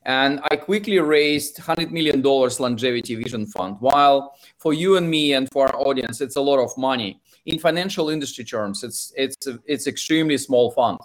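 A man with a Russian accent answers questions in an interview. He talks in a halting way, stops and restarts phrases and fills pauses with hesitant sounds. His pitch sits in the middle for a man. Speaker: investor and author.

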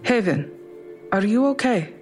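A woman's voice: on the high side, lively intonation, measured pace, a little mellow. An actress playing a firm, protective female office colleague in an audio drama. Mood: concerned. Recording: echoey room, faint music behind.

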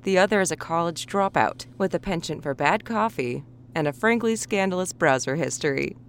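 A cold, bullying veteran cop, smug and threatening, lively rising and falling delivery, audio drama. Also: female voice, lowish voice, moderate pace, a little hiss or hum. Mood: menacing. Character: coldly menacing veteran woman police officer. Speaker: police officer.

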